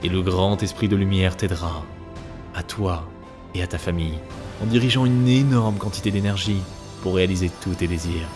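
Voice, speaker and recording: Deep-voiced male narrator over music. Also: moderate pace. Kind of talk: scripted narration.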